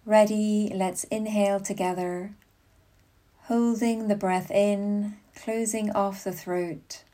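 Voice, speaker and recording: Slow, mellow woman guiding meditation. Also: mid-range voice, steady tone. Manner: slow, soothing, unhurried instructions with long pauses. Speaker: yoga nidra meditation guide. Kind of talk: guided meditation.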